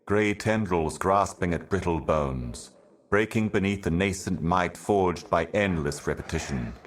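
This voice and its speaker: A super deep ancient video game herald, grave and weary, slow cryptic doom monologue. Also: male voice. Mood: solemn, haunted. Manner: grave, deliberate, ominous, poetic.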